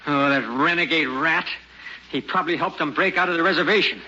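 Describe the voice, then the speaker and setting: A man's voice: on the high side, brisk, sharp tone, husky, full character voice. Tense, piercing frontier father. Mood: tense, wary. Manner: tense, commanding, muttering. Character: gruff, trigger-eager frontier father defending his homestead. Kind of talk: old-time radio drama acting. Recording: dull old radio recording, faint noise.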